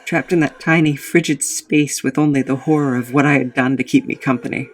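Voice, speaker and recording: A man's voice: medium pitch, steady tone, brisk, a little hiss or hum, close to an everyday voice. A man playing a guilt-ridden man who recounts his tragic past in a brooding, remorseful dramatic monologue. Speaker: narrator of own backstory.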